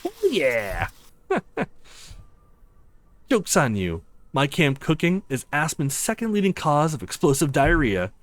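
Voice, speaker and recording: A man voicing playful, bantering young friends on a ski trip in a visual novel, with an excitable, teasing manner. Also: on the high side, takes it slowly, long pauses, full character voice.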